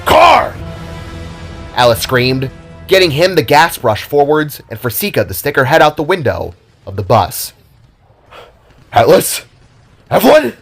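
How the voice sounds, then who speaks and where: A man's voice: medium pitch, animated, moderate pace, a bit bright, soft background music. A man narrating a scripted story in a dramatic style.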